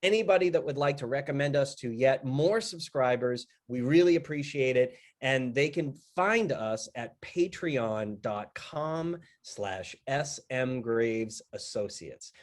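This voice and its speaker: A man with a medium-pitched voice delivers a casual promotional message as a founder. He is deliberate and repeats phrases for emphasis as he gives thanks. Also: animated. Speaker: media project founder giving a sponsor message.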